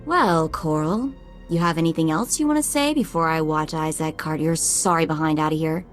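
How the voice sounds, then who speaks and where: A woman voicing a sassy, street-smart young sidekick in a video game, informal, expressive and sarcastic. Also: low voice, moderate pace, soft background music, natural voice. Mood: angry, scornful.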